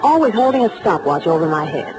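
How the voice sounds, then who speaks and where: An actress with an American accent playing a hesitant, coy, evasive widow in a 1940s radio detective drama. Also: female voice, on the low side, very animated, brisk. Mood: flustered, coy. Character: flustered, evasive newly widowed American woman client. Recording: noisy old-time radio recording.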